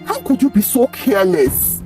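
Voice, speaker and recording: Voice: mid-range voice, huge pitch swings, normal speed, warm tone, far from the mic, faint music behind, voice pushed up. A storyteller voicing a worried, exasperated father, urgent and reproachful. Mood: outraged.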